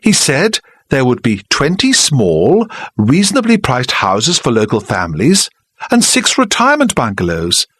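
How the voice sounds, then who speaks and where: A man's voice: mid-range voice, huge pitch swings, a little slow, fairly bright tone, husky, no echo, pitched above the performer's own voice, exaggerated voice. A man reading aloud, voicing a flustered, apologetic older village woman with a southern English middle-class accent. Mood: earnest, pleading. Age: 60s. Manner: earnest, pleading.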